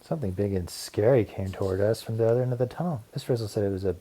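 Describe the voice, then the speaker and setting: A man reading a children's story aloud in an animated, expressive storytelling manner. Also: lowish voice, very fast. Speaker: audiobook reader. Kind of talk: children's story read-aloud with character voices.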